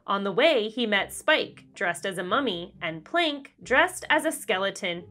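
Animated, bright-voiced woman reading a storybook. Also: medium pitch, faint music behind. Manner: animated, deliberate storyteller delivery. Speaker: children's read-aloud host. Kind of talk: expressive scripted storybook narration for kids.

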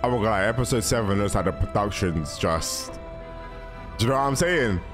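A young man comments casually on what he is watching, close on the mic. His voice sits fairly low but moves around a lot, with slangy, think-aloud phrasing. Cartoon intro music plays clearly behind him. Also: moderate pace. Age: young adult. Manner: animated, slangy, musing aloud. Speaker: reaction video host. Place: small indoor room at a desk. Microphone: close microphone on arm, headphones on. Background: music from the cartoon intros being watched.

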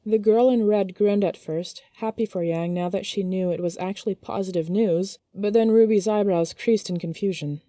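A woman narrator reading a scripted story in an expressive, measured storytelling manner. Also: mid-range voice, no echo.

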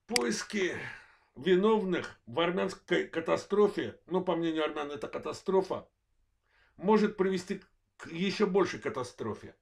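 Gravelly worn-out voice, guy in his 40s from azerbaijan doing a political rant on his channel, stop-start phrasing. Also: high-pitched, expressive. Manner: measured, halting delivery in short phrases. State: voice worn, apologizes for it. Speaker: channel host, political commentator. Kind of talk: monologue political analysis.

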